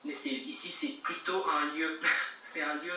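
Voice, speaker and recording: A woman's voice: low-pitched, animated, a little slow, pushed and bright. A young woman from Geneva, answering interview questions in a casual, emphatic way over a video call. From Geneva, Switzerland. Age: young adult. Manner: emphatic, repeats herself, laughs between points. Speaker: guest rapper. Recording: echoey distant call audio with faint noise.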